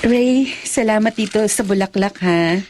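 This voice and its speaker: An actress playing a devoted, protective young sweetheart in a radio drama, earnest and gently chiding. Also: female voice, mid-range voice, a little slow, very noisy, close to an everyday voice. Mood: affectionate chiding.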